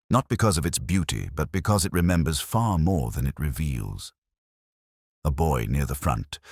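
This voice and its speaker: A man playing an older, melancholy police inspector, narrating his case in the first person in measured, literary, contemplative phrases. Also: very deep voice, dry recording, clean studio sound, exaggerated voice. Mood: brooding. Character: older male Oxford police inspector, brooding, reflective, melancholy.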